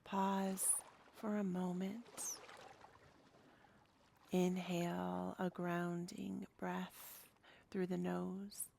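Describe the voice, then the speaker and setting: Very slow, soothing woman guiding meditation. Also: lowish voice, little pitch movement, slight background noise. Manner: soothing, hushed and breathy. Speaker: yoga nidra guide. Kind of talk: guided meditation.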